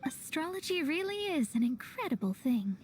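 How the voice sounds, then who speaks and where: A woman's voice: high voice, sing-song, normal speed, a little hiss or hum. A woman playing a self-assured, thoughtful young astrologer in a video game cutscene, formal and articulate, with musing theatrical delivery. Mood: impressed, musing.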